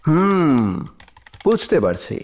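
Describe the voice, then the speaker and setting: A man playing a shrewd, composed defense lawyer in an audio drama, questioning deliberately with pauses for effect. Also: fairly high pitch, sing-song, darkish tone. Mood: probing, composed. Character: shrewd male defense lawyer cross-examining in court, probing, composed. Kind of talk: audio drama courtroom acting.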